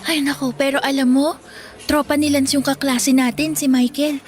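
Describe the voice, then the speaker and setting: A woman playing a brash, teasing high school girl, a pushy best friend, in Filipino radio drama style with a Filipino accent. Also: flat delivery, normal speed, close to an everyday voice. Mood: pushy, excited. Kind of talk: Tagalog radio drama acting. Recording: faint music bed.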